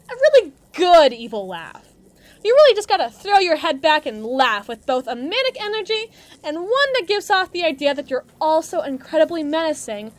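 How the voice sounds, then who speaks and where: A woman playing a brash, mean henchwoman at an evil agency in an audio roleplay, with sarcastic, theatrical, slangy tough talk. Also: very high-pitched. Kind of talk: acted monologue roleplay addressed to listener.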